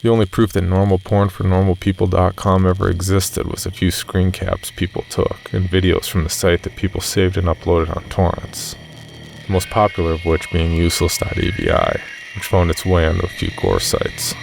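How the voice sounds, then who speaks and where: A man with a very low voice and a gravelly creak narrates horror. His delivery is measured and matter-of-fact, like someone reading out a grim account. There is faint noise behind him. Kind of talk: scripted first-person horror story narration.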